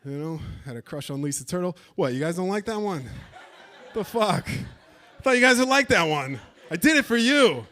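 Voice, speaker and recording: A man's voice: sits high, bright, forceful tone. A man doing a crude stand-up set on a theater stage, teasing and bantering with the audience. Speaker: stand-up comedian. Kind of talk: stand-up set with crowd work.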